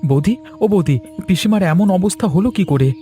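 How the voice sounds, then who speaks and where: A man voicing an excitable young village husband and devoted nephew in a Bengali cartoon, with a Bengali accent. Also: sits high, brisk, soft background music, close to an everyday voice. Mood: alarmed.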